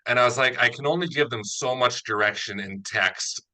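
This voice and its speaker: A man's voice: medium pitch, a little fast, bright, forceful tone, poor audio. A man speaking conversationally as an interviewer and writer, explaining his ideas in an analytical way. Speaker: interviewer, audio drama writer.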